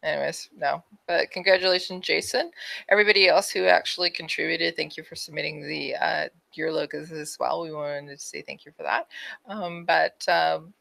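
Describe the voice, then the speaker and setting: A woman's voice: low voice, gravelly, a bit bright, no room sound. A woman with a Canadian accent co-hosting a podcast, chatting casually and reading out announcements. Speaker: podcast co-host.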